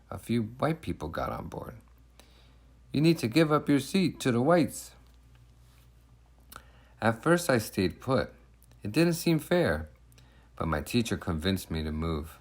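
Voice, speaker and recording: Low voiced guy reading a kids picture book, really animated, clear emphasis on the phrases. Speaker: storybook reader. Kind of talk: expressive children's picture-book narration in first person.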